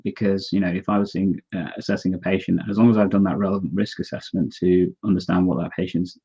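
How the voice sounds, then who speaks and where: A man's voice: low-pitched, warm tone, breathy edge, muffled recording. A man co-hosting a live discussion, explaining his views earnestly and reading out viewer comments. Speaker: co-host.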